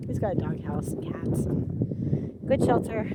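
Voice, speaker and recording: A woman's voice: fairly low pitch, expressive, moderate pace. A woman chatting casually to her camera outdoors on a farm, rambling and self-deprecating as she tells a story. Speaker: farm vlogger. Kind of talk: casual outdoor vlog chat. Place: outdoors on a farm by a frozen pond. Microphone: handheld camera. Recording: loud outdoor noise on camera mic.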